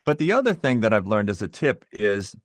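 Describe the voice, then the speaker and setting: A middle-aged man being interviewed as a voice actor, explaining things in a measured, reflective way and pausing to find his words. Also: on the low side, very animated, dry recording, clean studio sound.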